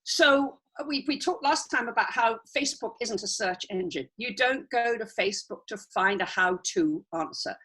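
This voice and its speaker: Croaky-voiced woman giving a chatty live training on a video call, husky and bright. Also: on the high side, animated, normal speed, hardly any pauses. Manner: chatty, explains with asides and restarts. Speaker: guest YouTube trainer.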